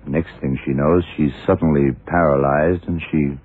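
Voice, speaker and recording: An actor playing a shrewd, worldly, commanding male detective who explains deliberately, in the style of vintage radio drama. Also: very deep voice, steady tone, husky. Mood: patient, knowing. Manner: deliberate, hard-boiled explaining. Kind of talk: 1960s-70s radio drama acting. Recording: muffled, narrow-band vintage radio recording.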